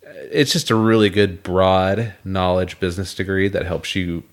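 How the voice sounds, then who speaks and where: A young man with a scratchy throat, co-hosting a casual podcast and explaining things in a measured way. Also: deep voice, fairly even intonation. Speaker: podcast co-host.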